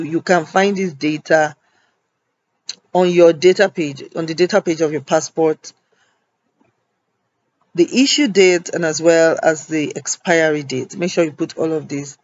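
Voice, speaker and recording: A man talks a viewer through an online form one field at a time, patient and methodical. His voice sits a little high, and he often pauses with "um". The voice-over has a dull, lo-fi sound. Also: no room sound. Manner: patient step-by-step explaining with frequent ums. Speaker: tutorial host. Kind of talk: screen-recorded form walkthrough. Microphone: voice-over on a screen recording.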